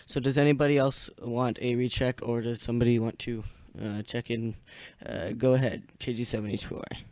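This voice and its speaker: Halting young man hosting, dry sound. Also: mid-range voice, level tone, darkish tone, dry recording. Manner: halting, easily distracted. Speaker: host of an amateur radio club net. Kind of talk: casual live radio-net chat.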